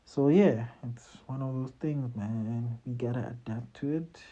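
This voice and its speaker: Dark husky South African man. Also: medium pitch, unhurried, lo-fi sound. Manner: loose and conversational, often tags lines with "you see" and "man". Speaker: host giving job interview advice. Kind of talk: casual advice talk to camera.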